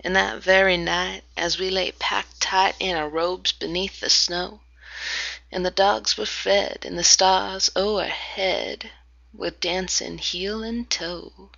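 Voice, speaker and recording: A woman reading a narrative poem aloud, dramatic, with hushed whispered passages. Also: mid-range voice, fairly even intonation, slow, creaky, no echo, highs cut off. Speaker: amateur reader.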